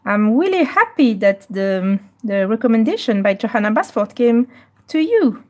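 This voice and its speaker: A Belgian woman hosting a live coloring stream, chatting casually and moving between French and slightly halting English. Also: a little high, big pitch swings.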